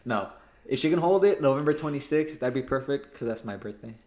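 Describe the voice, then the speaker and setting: Miguel hosts a relaxed podcast with his friends. He sounds upbeat and playful, teases the others and laughs easily. His voice sits at an ordinary pitch for a young man. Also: brisk, a crowd in the background. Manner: upbeat, laughs often, teasing. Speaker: podcast host. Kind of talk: casual chat.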